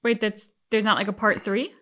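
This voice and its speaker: A woman's voice: medium pitch, level tone, normal speed, fairly bright tone. A woman co-hosting a reaction show, discussing casually in a reflective, articulate way.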